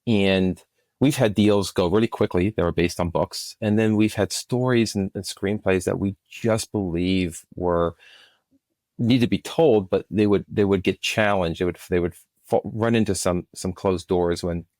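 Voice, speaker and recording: A middle-aged man with a very low voice talks as an interview guest. His delivery is earnest and emphatic, like a practised storyteller piling up his words. The sound is close and very dry. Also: unhurried, darkish tone, no echo. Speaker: guest, film and podcast producer. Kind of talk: conversational interview answers.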